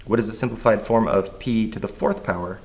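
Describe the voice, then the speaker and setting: A man with a hoarse voice, patiently explaining a math lesson step by step. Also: fairly low pitch, brisk. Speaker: math teacher.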